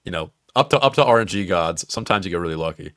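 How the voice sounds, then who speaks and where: A man's voice: low-pitched, animated, racing, fairly bright tone, no echo, studio quality. A young man co-hosting a podcast, swearing freely and teasing his friend, laughing often. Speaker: podcast co-host. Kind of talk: casual crude banter.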